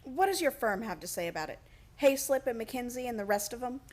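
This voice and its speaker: An actress playing a sensible, doubting sweetheart in a radio play, emphatic and reproachful. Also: female voice, a little high, animated, brisk. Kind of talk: stage-like radio play acting.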